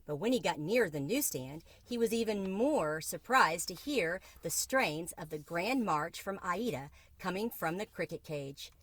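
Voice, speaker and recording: Low-pitched woman reading a children's book. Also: lively intonation, a little slow, hardly any pauses, a bit bright. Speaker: read-aloud storyteller.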